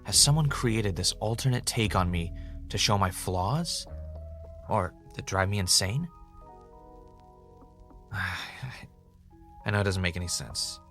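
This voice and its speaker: A man plays an anxious, paranoid podcast host in an audio drama. His voice sits on the low side, halting and self-questioning, with a faint music bed behind it. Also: a bit bright. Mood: anxious, confessional. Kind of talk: confessional audio drama monologue.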